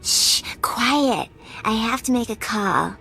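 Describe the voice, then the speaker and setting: A woman's voice: on the high side, animated, takes it slowly, vocal fry, bright, forceful tone, faint music behind, exaggerated voice. A woman playing a flirty, deceitful, lovesick starship captain in a video game, with a coy, sugary manner. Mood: flirty, gleeful. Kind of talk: video game cutscene acting.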